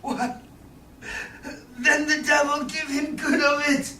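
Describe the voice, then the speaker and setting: Man as bitter Shakespearean moneylender, theatrical, drawn-out delivery, distant noisy recording. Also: extremely high pitch, flat delivery, sharp tone, echoey room, exaggerated voice. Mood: thwarted. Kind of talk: Shakespearean staged reading. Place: small indoor theatre stage. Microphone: distant audience camera.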